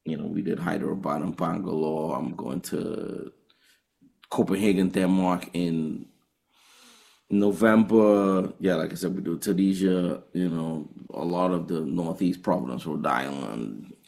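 A man's voice: low-pitched, unhurried, vocal fry, darkish tone. A man with a Trinidadian accent, a touring jazz musician answering interview questions earnestly, often restarting his phrases.

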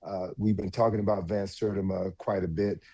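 Deep voiced guy on a radio panel, raspy and mellow, kinda halting. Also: level tone. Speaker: panel guest. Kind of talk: thoughtful radio discussion.

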